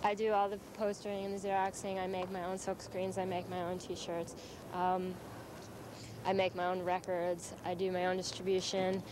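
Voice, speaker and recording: A young woman who sings lead in a band, speaking in a documentary interview outdoors on a city street at night, matter-of-fact and steady. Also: fairly low pitch, normal speed. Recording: hissy 1990s VHS tape.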